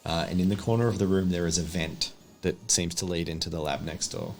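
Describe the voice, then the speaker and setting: A man with a lowish voice narrates a tabletop horror game as game master. He describes scenes and handles the rules for his players. Some noise sits faintly behind his voice. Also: steady tone, brisk.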